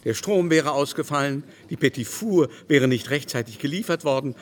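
A man's voice: medium pitch, normal speed, close to an everyday voice. A man playing a pompous, theatrical aristocratic baron. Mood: effusive, mocking. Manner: grandiloquent, mannered, swooping exclamations. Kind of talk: radio drama acting.

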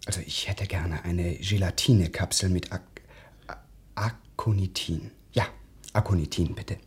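Radio drama role: ingratiating, devious gentleman, lowish husky voice, theatrical, over-polite. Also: measured pace, full character voice. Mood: feigned sorrow. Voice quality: breathy, husky, often dropping to whispers. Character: scheming, ingratiating male English aristocrat, outwardly courteous. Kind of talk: German radio drama acting.

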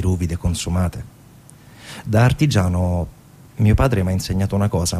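A man delivering a theatrical narrative monologue as a stage narrator, with deliberate, rhetorical phrasing. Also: fairly low pitch, darkish tone.

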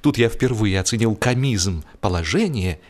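A man narrating a humorous story as an audiobook reader, in an expressive, theatrical storytelling manner. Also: fairly low pitch, moderate pace.

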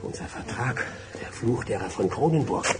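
An actor playing a grave, fate-bound husband in a radio drama, solemn and halting, at times hushed. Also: male voice, lowish voice, animated, lightly acted. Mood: solemn, resigned. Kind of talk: 1990s German radio drama acting. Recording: very noisy, with background sounds.

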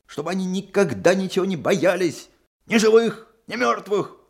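An actor playing a pompous, skeptical policeman in a radio drama, explaining things in a patronising, emphatic, halting way. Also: high voice, lively intonation, creaky, breathy edge, exaggerated voice. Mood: condescending. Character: pompous, skeptical male police colleague, sneering at a novice.

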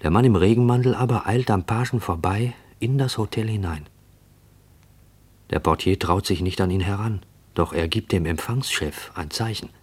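A man narrating a radio drama in a deliberate, literary manner. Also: lowish voice, slightly rough. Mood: quiet, observant.

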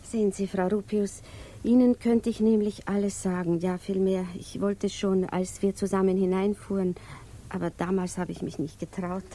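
An actress playing a naive, lovestruck and anxious woman in a 1950s German radio drama, confiding hesitantly and often slipping into breathy whispers. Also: medium pitch, little pitch movement, moderate pace, dark tone, lightly acted. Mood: confiding, nervous.

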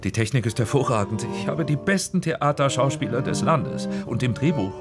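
An actor playing a restless middle-aged film director, talking with agitated, insistent reassurance. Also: male voice, medium pitch, lively intonation, fairly quick, close to an everyday voice. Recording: loud music underneath.

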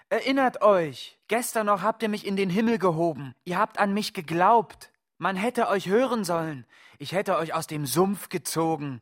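An actor in a radio play as a fallen creator god, husky and declamatory, pompous and wounded as they plead to be believed in. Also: mid-range voice, close to an everyday voice. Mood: pleading, proud. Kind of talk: theatrical radio play monologue.

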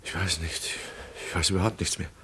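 Casting: anguished doctor under suspicion, very deep husky voice, halting and heavy, vintage radio drama. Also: male voice, steady tone, sharp tone, heavily acted. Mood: defeated, resigned. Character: despairing doctor expecting arrest for poisoning his wife. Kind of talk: 1950s German radio drama acting.

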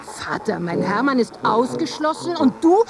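An actress playing an earnest, devoted noble daughter in a literary radio drama, with formal, refined phrasing. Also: female voice, on the high side, big pitch swings, normal speed, music in the background. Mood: longing, urgent.

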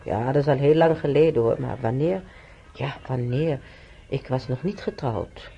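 A woman's voice: very deep voice, moderate pace, vocal fry, warm tone, slightly rough, muffled recording, full character voice. An actress playing a wry, chatty old woman who is a hospital patient in a radio play. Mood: reminiscing, chatty. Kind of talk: naturalistic radio play acting.

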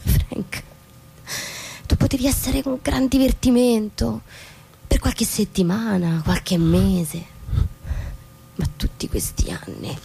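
An actress playing a disillusioned, coldly defiant wife in a theatrical radio drama, speaking deliberately with pointed pauses. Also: female voice, medium pitch, expressive, vocal fry, dark tone, husky, full character voice. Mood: bitter. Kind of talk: theatrical stage-play acting for radio.

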